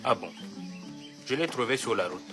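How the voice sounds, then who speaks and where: A man playing a hesitant, proud young village warrior and uncle in a noisy animated film soundtrack. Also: medium pitch, moderate pace, over loud music, natural voice. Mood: grudging.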